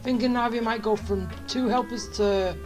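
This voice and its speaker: A woman's voice: on the high side, fairly even intonation, moderate pace. A woman doing casual gameplay commentary, hesitant as she muses aloud. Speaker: game streamer. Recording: game music behind the voice.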